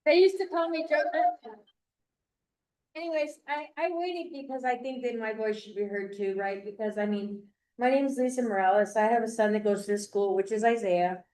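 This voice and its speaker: A woman's voice: fairly high pitch, steady tone, moderate pace, a little mellow. Earnest grandmother at a public comment mic. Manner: earnest, rambling, repeats herself. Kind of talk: public comment at board meeting. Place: school board meeting room. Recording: echoey meeting room.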